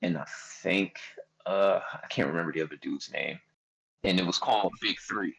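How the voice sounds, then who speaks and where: Young Philly guy, a bit low-voiced, casual storyteller who acts out his own quotes. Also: moderate pace, creaky, fairly bright tone, breathy edge, poor audio. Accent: Philadelphia. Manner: loose, slangy, quotes himself a lot. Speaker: guest artist.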